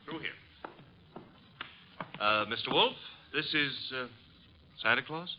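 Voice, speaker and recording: An actor with an American accent playing a breezy, flippant, wisecracking detective's assistant in an old-time radio drama. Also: male voice, medium pitch, lively intonation, slow pace. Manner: glib, flippant. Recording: dull, faintly noisy vintage radio recording.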